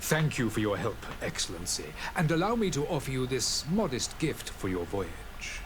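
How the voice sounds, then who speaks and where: A courtly official , acted by a man, with a mid-pitched, very varied intonation. He is a formal game dignitary, stern yet courteous, with clear noise in the background. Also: very animated, normal speed, close to an everyday voice. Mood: gracious. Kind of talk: video game dialogue.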